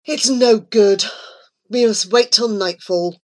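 A woman's voice: on the high side, takes it slowly, a little mellow. A woman voicing a desperate blind young man who speaks in a pleading, slightly raised voice. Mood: hopeless.

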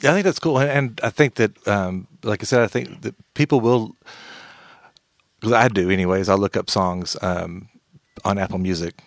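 A man's voice: on the low side, moderate pace, dry recording. A man hosting a podcast, chatting in a rambling, friendly way with many restarts. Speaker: podcast host. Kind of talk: casual podcast chat.

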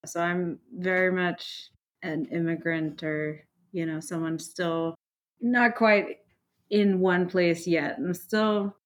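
Female guest from India, Indian accent, animated storyteller, lowish pitch. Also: slow. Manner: animated storyteller, laughs often. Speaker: guest, knitter. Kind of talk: casual interview chat.

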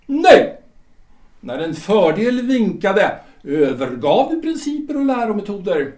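A man's voice: high voice, darkish tone. An actor playing a wry male guide in the afterlife who questions and judges a newly dead man, in a probing, teasing manner. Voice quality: probing, teasing, reads out verdicts with pauses. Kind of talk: amateur audio drama acting. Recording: echoey room.

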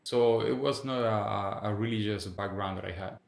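A man's voice: lowish voice, fairly even intonation, vocal fry. Venezuelan man with a Spanish accent, echoey. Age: 30s. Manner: halting, restarts phrases, laughs often. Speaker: guest evangelist. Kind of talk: casual interview.

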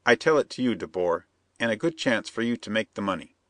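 Man putting on a high, stiff-spoken schemer. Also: animated, fairly quick, a bit bright, dry recording. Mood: insistent. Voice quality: stiff, broken phrasing. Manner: insistent, persuasive. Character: scheming male plotter, vehement, stilted speech.

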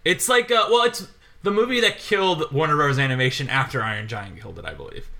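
A man's voice: on the high side, expressive, fast talker, gravelly, bright, forceful tone. A young man in casual podcast chat, telling a scattershot story and laughing often. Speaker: podcast co-host.

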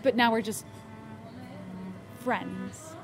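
Casting: young woman, highish voice, anxious and over-explaining, naturalistic radio drama, music underneath. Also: lively intonation, very slow, exaggerated voice. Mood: flustered. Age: young adult. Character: anxious young woman, insistent she is fine after a breakup. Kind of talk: naturalistic audio drama acting. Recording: music behind the voice.

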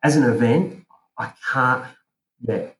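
A man's voice: medium pitch, slow pace, a bit bright. A man from South Africa, a podcast guest talking casually and hesitantly, with many fillers and restarts. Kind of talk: casual interview chat. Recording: roomy with faint ambient noise.

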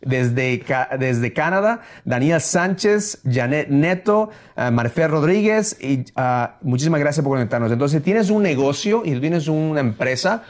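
A man's voice: on the high side, expressive. A man hosting a motivational business podcast, who slips phrases into his Spanish and stops to correct himself. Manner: mixes English phrases into Spanish; halting, self-correcting restarts. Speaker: podcast host. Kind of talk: casual motivational chat.

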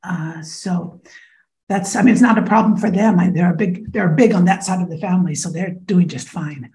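A woman's voice: lowish voice, normal speed, mellow. An American woman in her fifties, a guest speaking thoughtfully and frankly in an interview, with many small fillers. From United States (Iowa). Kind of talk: conversational interview.

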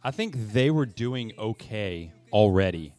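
A man co-hosting a podcast in casual, halting conversation with music playing behind him. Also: lowish voice, sing-song, a little mellow. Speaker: podcast co-host. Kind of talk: casual chat.

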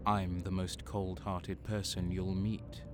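An actor playing a sadistic, cold-hearted traitor soldier who narrates his own story in a measured, intense audio drama style. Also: low voice, flat delivery, music in the background. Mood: cold, brooding.

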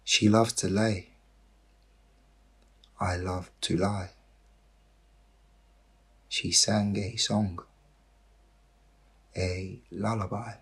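A man reading his poem aloud in short, measured phrases, with a husky voice. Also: low voice, fairly even intonation. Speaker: poet reading own poem. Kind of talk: poetry reading.

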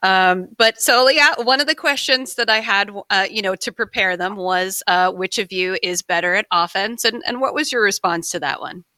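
A woman hosts a casual podcast in a bright, playful voice. The sound is dry and close, and she teases her guests as she sets up a game. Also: mid-range voice, normal speed, hardly any pauses, dry recording. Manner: playful, teasing, leading a game. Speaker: podcast host.

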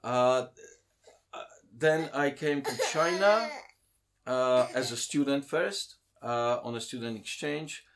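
A man, a guest in a casual interview who talks with many pauses, ums and restarts. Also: medium pitch, a crowd in the background. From Poland.

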